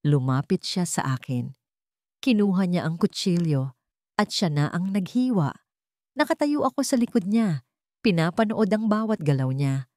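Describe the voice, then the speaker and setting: A woman narrating a romantic drama in the first person, in an expressive, sentimental storytelling style. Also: on the low side, normal speed, no echo, studio quality.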